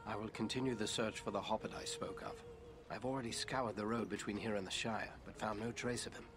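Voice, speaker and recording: An actor playing a grave, watchful ranger chieftain in a fantasy game, speaking in a measured, earnest way. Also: male voice, lowish voice, steady tone, music in the background, lightly acted. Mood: worried resolve.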